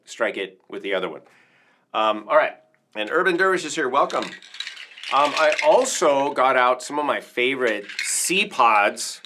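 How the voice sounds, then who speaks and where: A man's voice: mid-range voice, noisy background. A man hosting a casual livestream as a percussion teacher, talking through instruments in an informal, rambling, enthusiastic way.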